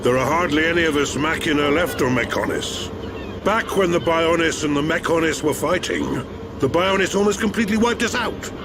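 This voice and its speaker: A man acting a kindly, ancient machine-race village chief in a video game, with a measured, theatrical storytelling manner. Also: fairly high pitch, noisy background, natural voice. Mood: wistful. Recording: game audio with music bed.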